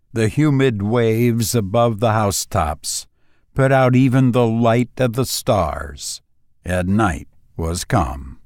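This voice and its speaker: A male audiobook narrator with a mid-range voice reads at an unhurried pace. His delivery is measured and dramatic, shaped by deliberate pauses. The sound is dry and close, with very little room in it. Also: mid-range voice, darkish tone, no echo. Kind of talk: scripted literary story narration.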